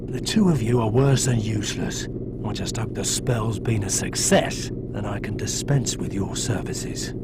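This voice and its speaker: A man's voice: lowish voice, measured pace, very noisy, lightly acted. An actor playing a scornful, grandly scheming male villain in a video game. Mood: sneering. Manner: sneering, theatrical ringside announcing.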